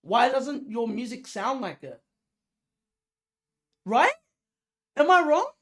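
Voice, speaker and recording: Animated, emphatic reaction host with lively pitch. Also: takes it slowly. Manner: emphatic, repetitive, full of rhetorical questions; informal, slangy. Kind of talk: casual music commentary.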